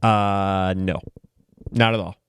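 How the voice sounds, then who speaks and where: A man's voice: low voice, level tone, slow pace, a bit bright, smooth. A man co-hosting a podcast in a laid-back, agreeable way. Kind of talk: casual chat.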